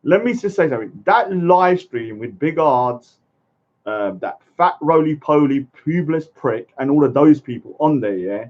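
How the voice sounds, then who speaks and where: Combative ranting man, lively pitch. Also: moderate pace. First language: English. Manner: blunt, combative, repeats "yeah?" and "let me say something". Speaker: speaker's corner vlogger. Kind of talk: ranting monologue. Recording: dull lo-fi sound.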